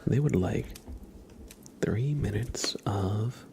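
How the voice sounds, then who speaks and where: A man hosting an ASMR livestream, chatting to viewers gently in a soft, breathy whisper that slips into a murmur. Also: slow, a little hiss or hum. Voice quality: breathy whisper mixed with low, creaky murmur.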